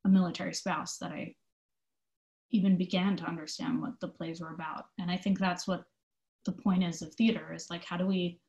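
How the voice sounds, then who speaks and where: Echoey, low-voiced woman in conversation. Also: darkish tone. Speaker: theater company manager being interviewed. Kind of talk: conversational interview answers. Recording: echoey room sound.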